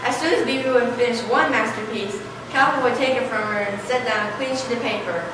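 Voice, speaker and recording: A woman's voice: fairly high pitch, a little fast, close to an everyday voice. A young adolescent girl reading scripted narration as a student narrator in a school readers theater play. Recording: echoey distant stage recording, noisy.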